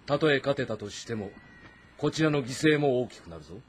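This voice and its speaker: Male role: actor as shrewd army commander for a historical game, stern and authoritative, wide swings in pitch, ambient noise behind. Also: expressive, fairly quick, close to an everyday voice. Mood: stern, calculating. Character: stern, shrewd warlord commanding his army, instructing his son. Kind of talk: Japanese historical game drama.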